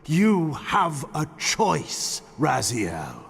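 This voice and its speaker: A man's voice: fairly high pitch, huge pitch swings, extremely slow, creaky, fairly bright tone, slightly rough, heavily acted. An actor playing an ancient, commanding male vampire lord in a video game, imperious and solemn, with formal archaic diction. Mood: grave, pleading. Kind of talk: dramatic video game voice acting. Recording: long cavernous reverb.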